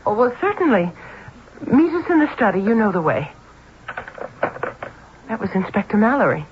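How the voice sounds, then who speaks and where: A woman acts a polite, gracious lady of a grand house in a radio mystery. The vintage broadcast is muffled and clearly noisy. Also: mid-range voice, sing-song, normal speed, natural voice. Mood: polite, brisk. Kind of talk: old-time radio drama acting.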